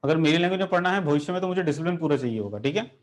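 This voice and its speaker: A fairly high-voiced man teaches chemistry to a class. He talks in an animated way, throws questions at his students and turns the lesson into a story. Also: rapid-fire, a little hiss or hum. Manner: animated, rhetorical questions, addresses students directly.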